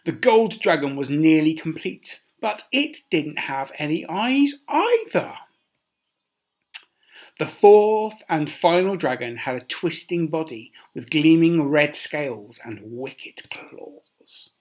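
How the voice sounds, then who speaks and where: A man reading a children's story aloud as a teacher, expressive and with dramatic emphasis. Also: fairly high pitch, slow.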